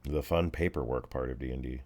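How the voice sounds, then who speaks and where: Low voiced guy game mastering a tabletop session, casual rules chat. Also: brisk, darkish tone.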